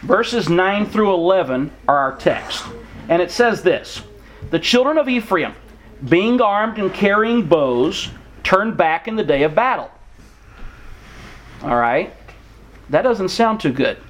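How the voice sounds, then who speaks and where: A man's voice: sits high, sing-song, takes it slowly, long pauses, fairly bright tone, slight background noise, low-quality recording. A man who grew up in Mexico, preaching an earnest, encouraging sermon to young people and reading scripture aloud. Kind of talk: sermon to missionary kids.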